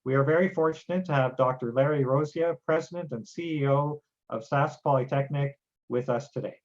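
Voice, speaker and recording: A man's voice: medium pitch, a little fast. A man hosting an awards ceremony, reading out names and titles carefully with occasional fillers. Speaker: event host. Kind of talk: formal ceremony introductions. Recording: dull lo-fi sound.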